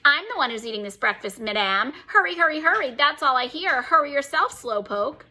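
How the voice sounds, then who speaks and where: A woman voicing a rude, cheeky female pet parrot that repeats herself in a shrill, squawking voice. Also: expressive, brisk, sharp tone, heavily acted.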